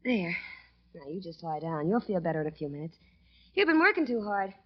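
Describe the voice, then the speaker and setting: An actress playing a caring, concerned wife in an old radio drama, with a solicitous, soothing manner. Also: female voice, lowish voice, very animated, a little fast. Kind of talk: 1940s-50s radio drama acting. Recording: dull old radio recording.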